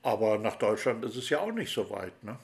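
A man's voice: on the low side, very animated, brisk. A man hosting an interview, asking rambling, friendly questions full of fillers. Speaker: interview host. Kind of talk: chatty radio-style interview.

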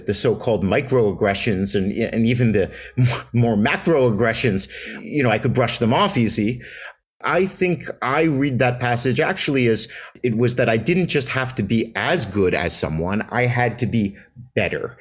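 Man, novelist guest, mid-pitch, reflective, halting restarts. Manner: thoughtful, many restarts and fillers. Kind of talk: reflective interview answers.

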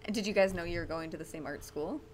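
A woman's voice: lowish voice, fast, slight background noise. A woman in her twenties co-hosting a podcast in casual chat and asking questions. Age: 20s. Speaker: podcast co-host.

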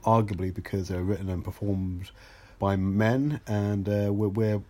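A man's voice: lowish voice, steady tone, unhurried, a little mellow. A British man co-hosting a podcast in a casual, chatty way, with wry, dry asides and wordy, hesitant phrasing that circles around. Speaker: podcast co-host.

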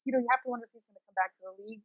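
A woman's voice: on the high side, racing, husky. A woman co-hosting a sports podcast, chatting casually with wry, flippant asides. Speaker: podcast co-host. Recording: dull, roomy, lo-fi recording.

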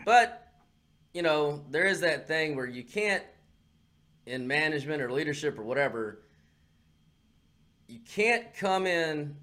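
A man's voice: on the high side, a bit bright. A man co-hosting a podcast with casual comedic banter, wisecracking and swearing freely. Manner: wisecracking, profane. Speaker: podcast co-host.

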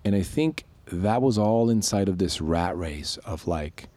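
Low-voiced man explaining on a podcast. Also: expressive, measured pace, mellow, no room sound. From Switzerland. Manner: explanatory, loose and reflective, with frequent restarts and fillers. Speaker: podcast co-host. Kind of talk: casual podcast conversation.

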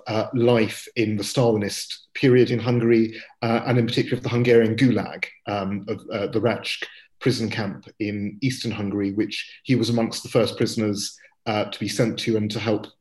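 A man speaking in a panel discussion as a journalist and church historian, measured and pausing with fillers between phrases. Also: on the low side, little pitch movement, a little mellow.

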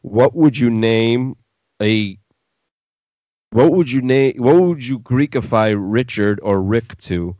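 A man's voice: medium pitch, slow pace, a little mellow, no echo, dull audio. A man hosting a casual sports talk show, speaking in a blunt, opinionated way.

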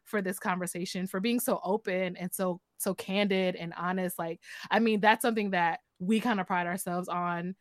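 A woman's voice: fairly low pitch, steady tone, moderate pace, few pauses, no echo, studio quality. A woman hosting a casual podcast, thanking her guests in a rambling, heartfelt way with restarts. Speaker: podcast host.

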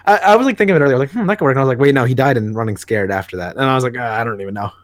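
A man's voice: medium pitch, rapid-fire, no echo. A man co-hosting a podcast, chatting casually about comics in a rambling, hesitant way and often correcting himself. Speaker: podcast co-host. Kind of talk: casual comics discussion chat.

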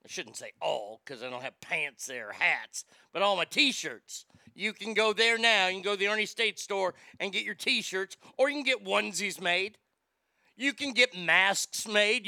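A man's voice: high-pitched, expressive, unhurried, pushed and bright, no echo, clean studio sound. A man in his fifties hosting a morning radio show with loose, crude, joking banter. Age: 50s.

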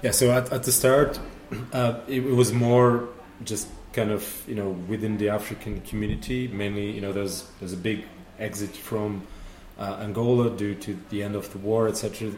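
A man, telling stories in a relaxed way with lots of "you know"s. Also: fairly low pitch, moderate pace, few pauses, echoey room, a little hiss or hum, low-quality recording. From Portugal. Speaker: dance teacher and festival organizer. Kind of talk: casual interview answers.

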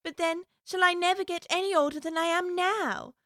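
Curious chatty little girl musing to herself, a woman voicing her, high and bright, dry sound. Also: steady tone, moderate pace, no echo. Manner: musing aloud to herself, prim and wondering.

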